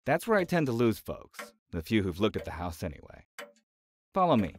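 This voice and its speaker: A man's voice: lowish voice, sing-song, moderate pace, a little hiss or hum. A man acting as a friendly, chatty, slightly evasive small-town realtor in an audio drama. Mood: hesitant, gossipy. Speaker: real estate agent showing a house. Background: faint music.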